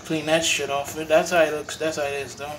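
A man talks through a casual home review, filming on a phone he holds himself. His voice sits a little high and wanders, restarting phrases as he goes. The room is echoey, with a faint hum of ambience behind him. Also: little pitch movement, a little fast, fairly bright tone. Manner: rambling, repeats and restarts phrases. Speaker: cigar vlogger. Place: home room with bare walls. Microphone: phone held while filming.

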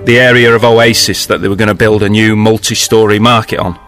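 A man's voice: fairly low pitch, steady tone, fairly quick, a bit bright, soft background music, lightly acted. A man voicing a weary middle-aged father who rambles and falters dejectedly.

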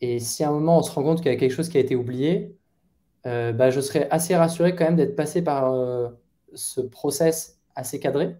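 A man in his thirties speaks over a headset on a video call from his bedroom. His voice is very dark and mellow. He answers at an easy conversational pace, hesitating and restarting as he thinks. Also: medium pitch, a little fast. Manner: thoughtful, hesitant with many fillers and restarts. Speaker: guest product manager. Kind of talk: casual interview answers. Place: bedroom at home.

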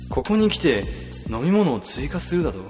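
A slightly high voice of a cunning office rival, played by a man who plots in intense, overdramatic inner monologue. It sits in a long reverb with music under it, like a comedy radio drama. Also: very animated, normal speed, a little mellow. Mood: scheming.

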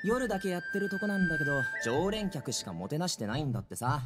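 Boastful young lion-dance fighter, anime game style, friendly, animated pitch, prominent music behind. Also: male voice, rapid-fire. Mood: friendly, inviting. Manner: brash, friendly, confident. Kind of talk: Japanese anime game trailer acting.

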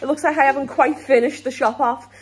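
A chatty British woman in her thirties rambles through her grocery haul, often laughing as she goes. Her voice sits a little high. It is filmed on a handheld phone in a home kitchen, with faint hiss behind her. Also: steady tone, brisk. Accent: British English. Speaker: vlogger. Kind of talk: casual grocery haul vlog. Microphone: handheld phone camera.

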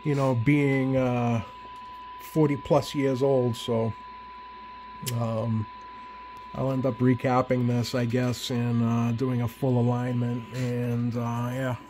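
A man giving a casual, unscripted hands-on demonstration to viewers, halting and thinking aloud as he works. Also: mid-range voice, level tone, warm tone, a little hiss or hum. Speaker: radio repair hobbyist, video host. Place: indoor home electronics workbench.

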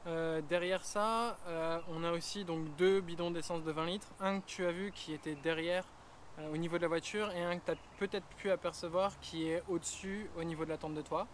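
A man's voice: fairly high pitch, fairly even intonation, moderate pace, slight background noise. A man in his thirties giving a casual vlog walkthrough, chatty with many fillers, filmed on an action camera. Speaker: travel vlogger. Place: roof tent on a car, then outdoors in bush.

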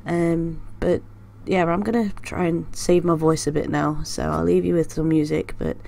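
A woman's voice: low voice, steady tone, moderate pace, vocal fry, warm tone. A young woman hosting her art channel, talking casually with a congested voice from a cold, with many ums and restarts. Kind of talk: casual vlog chat.

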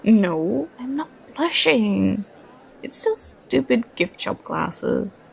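A woman's voice: mid-range voice, big pitch swings, gravelly, a little mellow, exaggerated voice. A young woman playing a nerdy, affectionate girlfriend who gets flustered and bashful, in an ASMR roleplay. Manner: bashful, halting, playfully protesting.

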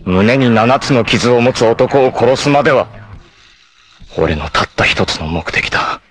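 A man's voice: medium pitch, expressive, a little slow, lightly acted. A man playing a proud, vengeful martial-arts warrior in a 1980s anime, cool, ruthless and theatrical in delivery. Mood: grim resolve. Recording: noisy old anime clip compilation.

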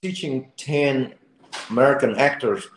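A man from Vietnam, a guest on a video call, friendly and a bit tired, pausing often with fillers. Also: medium pitch, roomy sound, low-quality recording. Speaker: guest, actor and fight choreographer. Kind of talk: interview answers over video call. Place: room at home. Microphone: video call webcam.

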